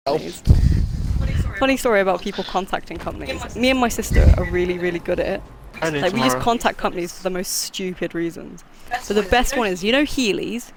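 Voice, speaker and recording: A woman's voice: mid-range voice, expressive, fast talker, vocal fry, noisy background. A woman streaming a game and chatting casually as host, laughing often and poking fun at herself. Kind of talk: casual chat while gaming.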